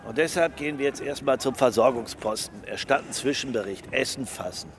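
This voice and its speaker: Actor as a chummy veteran police inspector, outdoors. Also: male voice, medium pitch, fairly quick, lightly acted. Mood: chummy storytelling. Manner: storytelling, hearty, coarse. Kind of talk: German radio drama acting. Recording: clear outdoor street noise.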